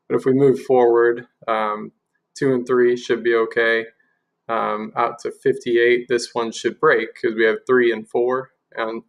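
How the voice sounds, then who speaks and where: A man presents an informal software walkthrough in a voice with plenty of fry and gravel. His pitch is middling and stays fairly even. He explains things step by step, as a demonstrator does while sharing a screen. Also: poor audio.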